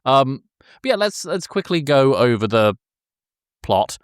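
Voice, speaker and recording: A man's voice: medium pitch, big pitch swings, unhurried, clean tone, no room sound, studio quality. A man hosting a podcast, casually discussing film with a pedantic, detail-minded way of talking. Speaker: podcast host.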